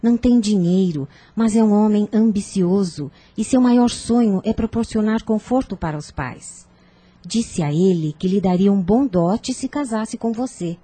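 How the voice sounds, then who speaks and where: A woman playing a firm, persuasive older aunt in a radio soap opera, genteel, formal and measured. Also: mid-range voice, warm tone, slightly rough, no echo. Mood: reassuring.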